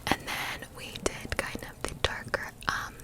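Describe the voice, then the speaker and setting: A woman hosting ASMR in a breathy whisper, chatting to viewers and playfully repeating words and phrases in a rhythmic way. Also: measured pace. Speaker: ASMR video host.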